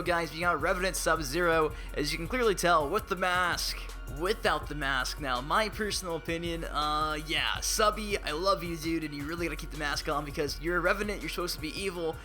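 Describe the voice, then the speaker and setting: A young man hosting casual gaming commentary, excitable and hype-driven, his voice slightly raspy as it recovers. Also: a little high, normal speed, few pauses, soft background music. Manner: excitable, hype-driven, laughs and blurts out reactions. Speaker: gaming YouTuber.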